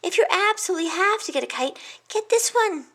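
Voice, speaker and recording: A high, squeaky little porcupine who frets over every danger, as a woman reading aloud puts him on, his pitch leaping up and down as he warns. He sounds small and jittery, in a storybook reading. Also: animated, fairly quick, fairly bright tone, full character voice. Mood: fretful. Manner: squeaky, fretful warnings. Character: anxious male porcupine, fretful, squeaky.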